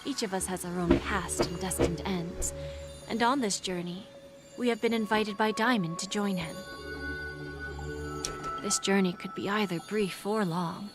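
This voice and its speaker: A woman voicing a poised, calculating pawn-shop owner in a dramatic video game, speaking in a measured, insinuating way that turns breathy at phrase ends. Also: mid-range voice, over music, close to an everyday voice. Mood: solemn resolve.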